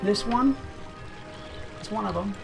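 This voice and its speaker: A game video creator giving casual gameplay commentary, thinking aloud in a relaxed, chatty way. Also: medium pitch, a little slow, gravelly. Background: game music.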